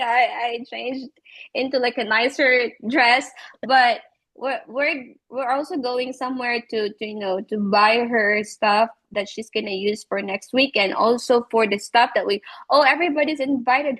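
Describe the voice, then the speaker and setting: A woman's voice: a little high, normal speed, a bit bright, rough recording. A woman with a Filipino accent chatting casually on a video call, rambling and repeating herself with lots of filler words. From Philippines. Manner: rambling, repetitive, full of "like" and "you know". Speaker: teacher and interview guest.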